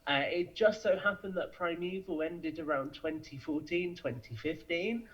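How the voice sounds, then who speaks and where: A man's voice: on the high side, moderate pace, fairly bright tone. A young British man chatting casually and enthusiastically as a podcast guest, over dull, lo-fi audio. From United Kingdom. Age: young adult. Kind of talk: casual chat.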